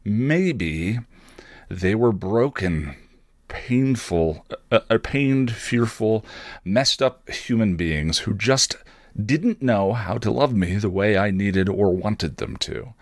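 A middle-aged man telling a personal story as a podcast host, reflective and halting as he searches for words, with a wry streak. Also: fairly low pitch, expressive, dry recording, clean studio sound.

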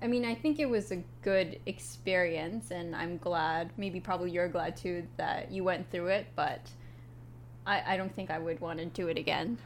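A woman's voice: lowish voice, normal speed, a little hiss or hum. A young woman talking casually about her work, hesitant with small restarts. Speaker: co-host, English teacher. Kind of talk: casual chat.

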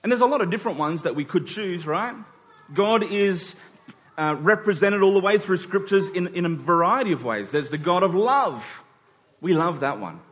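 High-voiced man preaching conversationally. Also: expressive, normal speed, fairly bright tone. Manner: rhetorical check-ins with the congregation; emphatic repetition of key phrases. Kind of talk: conversational church sermon.

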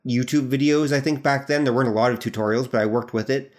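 A man who is a podcast guest, telling stories in a relaxed, self-deprecating way during casual chat. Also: mid-range voice, fast.

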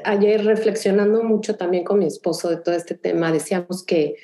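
A woman's voice: on the low side, fairly even intonation, a little fast, dark tone, soft background music. A woman from Mexico City with a Mexican Spanish accent, co-hosting in a reflective, conversational way. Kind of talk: casual chat. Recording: roomy echo.

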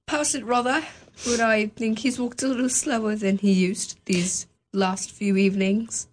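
An actress playing a young member of a grieving family in a radio drama, soft and earnest. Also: female voice, a little high, fairly even intonation, measured pace, slight background noise, close to an everyday voice.

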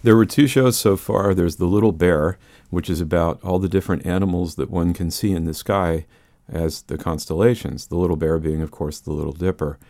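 Older man, deep baritone, dry studio sound, conversational explainer, interview guest. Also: steady tone, a little fast, warm tone, no echo. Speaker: interview guest, space scientist and musician.

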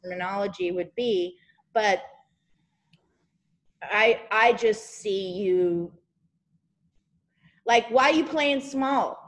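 A woman's voice: medium pitch, sing-song, slow, long pauses. A woman hosting a podcast over a video call, talking casually in a rambling, encouraging way and often searching for words. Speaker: podcast host.

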